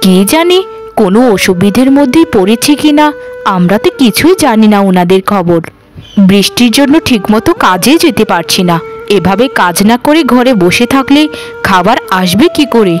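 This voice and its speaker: A woman's voice: mid-range voice, expressive, moderate pace, faint music behind, lightly acted. A woman voicing a careworn, worried village mother in plaintive, melodramatic cartoon dialogue. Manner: plaintive, lamenting.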